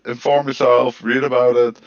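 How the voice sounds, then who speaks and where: A man's voice: medium pitch, little pitch movement, moderate pace, highs cut off. A man hosting a crypto community chat on a voice call, easygoing and rambling, with many fillers. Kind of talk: casual chat.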